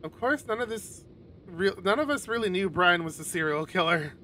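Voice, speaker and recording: Male game streamer, slightly high voice, narrates story text with casual profane asides, background noise. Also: animated, moderate pace, sharp tone. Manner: swears casually. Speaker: streamer reading a visual novel aloud. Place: home streaming setup, livestream.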